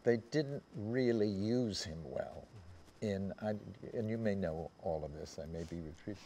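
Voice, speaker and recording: Man, seventies, low-pitched, mellow, tentative, conversational panel guest, theatre stage. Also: expressive, slight background noise. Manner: halting, tentative. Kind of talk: conversational reminiscence.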